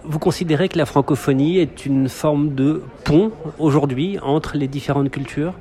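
Higher-pitched male reporter asking questions. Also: normal speed, mellow. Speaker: radio or TV reporter. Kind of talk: journalistic interview questions and links.

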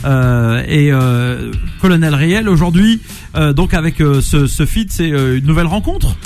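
A man's voice: on the high side, lively intonation, measured pace, a little mellow, soft background music. A man from France hosting a morning radio show, teasing his guests and filling gaps with hesitations. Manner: joking, teasing, many hesitations. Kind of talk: casual radio interview.

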